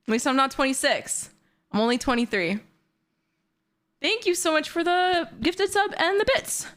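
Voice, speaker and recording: Bubbly high-voiced young woman streamer. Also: moderate pace. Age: 20s. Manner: bubbly, excitable, squealing. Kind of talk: casual livestream chat. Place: her bedroom.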